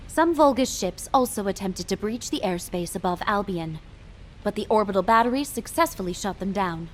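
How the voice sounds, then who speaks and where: A woman's voice: mid-range voice, expressive, slight background noise, natural voice. Actress as a formal female military officer. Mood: formal, composed. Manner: formal, measured. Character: composed female military officer giving a briefing. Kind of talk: scripted video game briefing.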